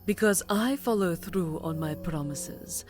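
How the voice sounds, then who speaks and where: A woman acts out a cruel, seductive vampire countess in a fantasy audio drama. Her voice is on the low side and very slow, intimate and taunting, slipping often into whispers, with music behind her. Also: animated, darkish tone. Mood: smug, menacing. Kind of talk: scripted fantasy ASMR roleplay acting. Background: background music.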